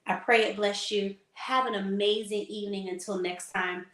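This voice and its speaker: A slightly hoarse woman hosting a faith talk, preaching casually to her audience in a sassy, emphatic way. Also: medium pitch, fairly even intonation, moderate pace, a bit bright, reverberant. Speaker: faith talk host and lifestyle consultant.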